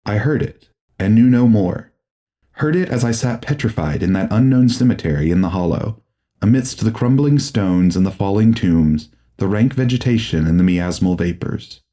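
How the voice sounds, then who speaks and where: A man reading a horror audiobook as a grave, formal, deliberate and dramatic narrator. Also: on the low side, lively intonation, mellow.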